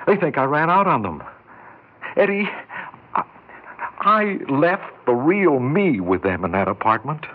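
An earnest, yearning ordinary man in a 1960s radio drama. His voice is husky and middle-pitched with wide swings, his manner halting and self-deprecating. The recording is dull, with some music behind. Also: sing-song. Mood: regretful, agitated.

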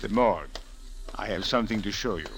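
An actor playing a calm, suspicious Cairo police officer with a measured, formal manner in a 1940s radio drama. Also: male voice, lowish voice, close to an everyday voice. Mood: dry, insistent. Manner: measured, formal, uncontracted speech. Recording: noisy vintage radio recording.

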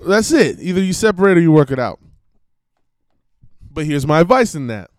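Man, slightly hoarse, high-pitched, emphatic, preachy, swearing, dry sound. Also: big pitch swings, moderate pace, no room sound. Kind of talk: casual monologue rant.

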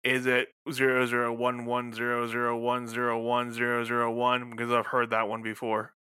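A man's voice: mid-range voice, moderate pace, clear, resonant tone, no room sound, studio quality, lightly acted. Man putting on a stilted beeping robot voice. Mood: flat, mechanical. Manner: flat, mechanical, stilted. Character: beeping monotone robot speaking in binary.

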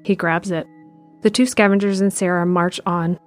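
A woman with a lowish voice narrates a scripted audio drama in a measured, descriptive way. Quiet music runs under her narration. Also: fairly even intonation.

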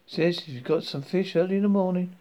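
A man's voice: sits high, a little fast, warm tone, voice pushed up, natural voice. Man putting on a chatty young southern woman. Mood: gushing. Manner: gushing, talkative.

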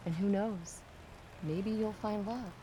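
A woman's voice: mid-range voice, steady tone, takes it slowly, mellow, a little hiss or hum, lightly acted. An actress playing a teasing, self-assured young woman, a protective friend, in an audio drama. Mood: teasing, reassuring. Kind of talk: audio drama acting, conversational.

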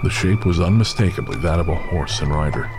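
Man, narrator, steady, measured, very low voice, music underneath. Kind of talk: scripted horror story narration.

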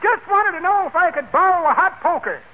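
A man's voice: very high-pitched, animated, fairly quick, narrow, tinny audio. An actor playing a folksy, chipper old man who calls everyone kids, in a 1940s radio comedy. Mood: chipper, rambling. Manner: folksy, calls people kids, daughter and son. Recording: scratchy, very noisy 1940s radio recording.

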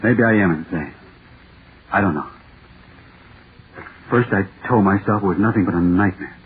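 An actor playing a panicked young horror writer in a 1930s radio drama, pleading and overwrought. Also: male voice, low voice, normal speed, lightly acted. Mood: bleak. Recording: noisy, tinny 1930s radio broadcast.

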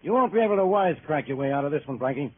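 A man's voice: a little high, expressive, very fast, close to an everyday voice. A man playing a blunt, badgering police inspector. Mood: irritated, threatening. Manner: blunt, bullying, sarcastic interrogation. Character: gruff, badgering, hot-tempered male police inspector. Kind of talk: 1940s radio drama acting. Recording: tinny, hissy old radio recording.

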